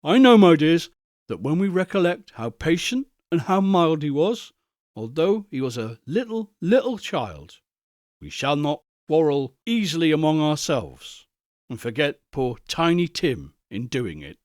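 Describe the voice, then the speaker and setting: A man reads as a poor, kind father who speaks softly and tenderly to his children. His voice rises and falls warmly. It sounds dry and close to the mic. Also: a little high, sing-song, measured pace, no room sound. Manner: tender, soothing. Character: gentle humble father of a poor family.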